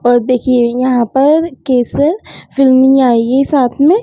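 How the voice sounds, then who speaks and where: A young woman with a high, gravelly voice that sounds a little sore, chatting easily through a home vlog voice-over. Her tone is dark and mellow, set close and dry on the mic, with a slightly dull, lo-fi quality to the recording. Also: steady tone, slow, no echo. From India. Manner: chatty, mixing English words into Hindi. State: sore throat. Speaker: vlogger. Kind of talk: casual vlog narration.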